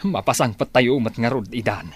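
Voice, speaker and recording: A man acting a concerned, steady family man in an Ilocano-accented radio drama. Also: medium pitch, a little fast, breathy edge, no room sound.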